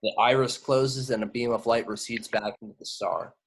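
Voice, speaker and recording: Medium-pitched man narrating a script. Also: fairly even intonation, normal speed, a little hiss or hum. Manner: stumbles on invented place names. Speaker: action-line narrator. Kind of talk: reading screenplay action lines.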